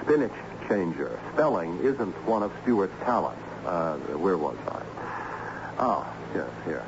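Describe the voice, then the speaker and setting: An actor playing a harried, ironic assistant principal in an old-time radio drama, theatrical and patiently explanatory. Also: male voice, low-pitched, very animated, unhurried, exaggerated voice. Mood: enthused, explanatory. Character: harried, excitable male school assistant principal with ironic humour. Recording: dull, noisy old radio recording.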